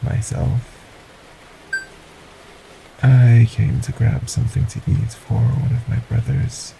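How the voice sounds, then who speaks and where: A man voicing a polite, sinister male stranger in an audio roleplay, speaking formally and courteously with a soft, breathy menace. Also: medium pitch, level tone, slow, noisy background. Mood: smooth, courteous.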